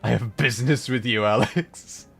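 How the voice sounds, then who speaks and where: A man's voice: mid-range voice, moderate pace, gravelly, husky, faint music behind. A man hosting a casual livestream, rambling thoughtfully as he plays and answers chat, with an easy tendency to chuckle. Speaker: livestreamer and host.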